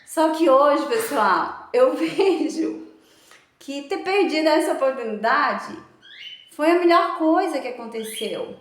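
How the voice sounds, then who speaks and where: A woman telling a personal faith testimony in an earnest, reflective way, with many pauses and fillers. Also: high-pitched, lively intonation. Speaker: motivational speaker. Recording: echoey room.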